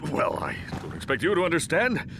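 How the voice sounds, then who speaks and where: A man's voice: huge pitch swings, a little fast. A man voicing a vain, cowardly, muscle-bound space superhero in a pompous, melodramatic manner for a video game cutscene. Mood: smug. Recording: noisy game audio with rumble.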